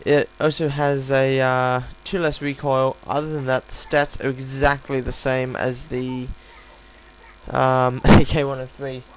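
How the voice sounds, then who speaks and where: A tired man with a croaky voice gives casual, unscripted game commentary, rambling and hesitant as he reviews. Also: mid-range voice, level tone, measured pace, rough recording. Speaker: gaming video host reviewing a weapon. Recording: home recording with audible hum, background noise.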